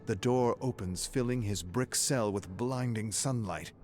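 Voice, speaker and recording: A man with a low, deep voice delivers dramatic scripted narration. He is grave and deliberate, like a brooding storyteller. Soft music sits underneath his voice. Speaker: narrator of game lore readings.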